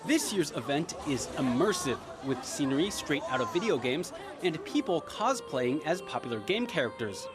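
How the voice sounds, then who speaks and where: A man reporting a light feature story in a playful, animated way. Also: a little high, normal speed, music in the background, crowd noise.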